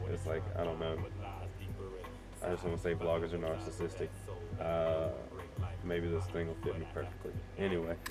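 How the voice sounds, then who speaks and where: A man talking casually in a rambling vlog, profane and slangy, thinking aloud and poking fun at himself. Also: deep voice. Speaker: vlogger, amateur music producer. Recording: loud music behind the voice. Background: music.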